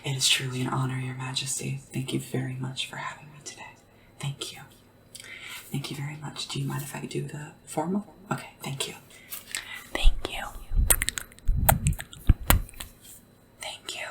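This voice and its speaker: Hushed, whispering woman as a humble sleep assistant, noisy recording. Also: a bass voice, flat delivery, moderate pace, creaky, heavily acted. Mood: grateful, deferential. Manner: whispering, hushed, hesitant, polite. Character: humble, eager royal sleep assistant, gentle and deferential. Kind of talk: whispered and soft-spoken ASMR roleplay. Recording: noisy lo-fi home recording, slightly distant mic.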